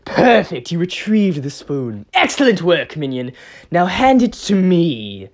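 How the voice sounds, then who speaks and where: A scheming male shadow-rabbit villain, as a woman storyteller puts him on, a little higher than her own voice and swinging widely in pitch. He draws out every command slowly and grandly, like a storybook overlord summoning his minion. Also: sing-song, full character voice. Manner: slow, drawn-out commanding declarations.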